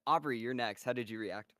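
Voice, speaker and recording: A man moderating a voice cast Q&A over a video call, cheerful and quick to laugh. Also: medium pitch, a bit bright, no room sound, clean studio sound. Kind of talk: casual upbeat moderating.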